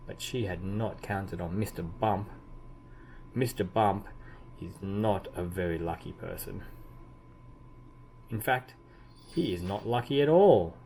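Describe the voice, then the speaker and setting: A man reading a children's story aloud expressively, with deliberate emphasis and drawn-out key words. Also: deep voice, lively intonation, warm tone, rough recording. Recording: clearly audible background noise.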